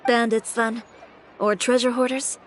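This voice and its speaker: A woman's voice: a little high, little pitch movement, a little slow, slight background noise, close to an everyday voice. A woman voicing a cool, self-assured young fashion designer in a video game, composed, blunt and dry. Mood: wry. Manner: composed, dry, matter-of-fact. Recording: studio voice with music behind.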